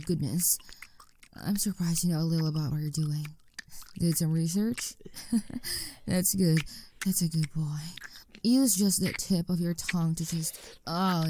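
A young woman speaking in a soft, coaxing whisper into a close microphone for an intimate audio roleplay. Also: lowish voice, gravelly, a little hiss or hum.

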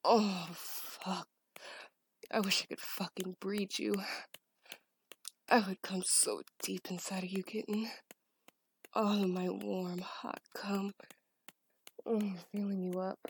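A woman in a scripted adult audio roleplay, speaking as a dominant partner in a breathy, teasing, commanding whisper. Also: medium pitch, flat delivery, slow pace, long pauses, slight background noise.

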